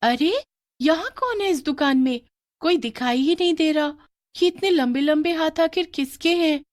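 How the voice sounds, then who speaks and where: A woman voicing a cheerful schoolgirl who loves street snacks, bubbly and excited. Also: high-pitched, moderate pace, dry recording, clean studio sound, pitched above the performer's own voice, natural voice. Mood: curious.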